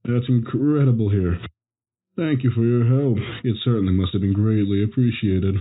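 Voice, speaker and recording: A man's voice: on the low side, normal speed, close to an everyday voice. A man playing a courteous interviewer in a scripted broadcast drama. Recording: muffled narrowband phone-like audio.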